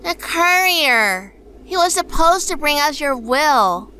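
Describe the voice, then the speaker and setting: A woman's voice: sits high, sing-song, slow, pushed and bright, full character voice. A woman acting as a bossy, exasperated crime-solving partner in a noir audio drama, scolding and no-nonsense. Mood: grave. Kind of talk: noir radio-play dialogue and narration.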